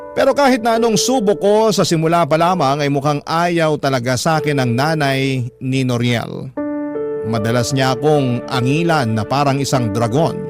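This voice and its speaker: Male Filipino narrator for radio drama, lighter-pitched, dramatic letter-reading delivery, clear music bed underneath. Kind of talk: dramatic scripted storytelling.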